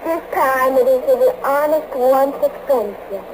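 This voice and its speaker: A woman's voice: high-pitched, a little slow. An actress playing a solemn, tender mystic woman with formal, earnest phrasing in a 1940s radio serial. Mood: tender farewell. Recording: noisy old radio serial recording.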